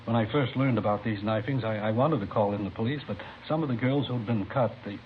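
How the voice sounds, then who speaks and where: An actor playing a worried high school principal with an American accent, earnest and hesitant, in 1950s radio drama. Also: male voice, a little fast, close to an everyday voice. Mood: anxious. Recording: dull, hissy old radio recording.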